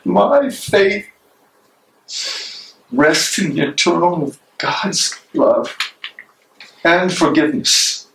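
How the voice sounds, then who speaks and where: A man's voice: on the high side, lively intonation, very slow, creaky, long pauses, fairly bright tone, full character voice. A man playing an imprisoned, devout and defiant German theologian in a dramatic stage reading, with an impassioned, declamatory delivery. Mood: anguished, defiant. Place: library room, live reading. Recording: echoey room, distant mic, noisy.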